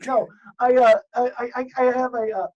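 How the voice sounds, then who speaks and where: Male podcast guest, casual storyteller, animated and jokey, voice leaps high for punchlines, dull lo-fi sound. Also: a little fast. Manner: animated, jokey, swears, squeaks up when excited.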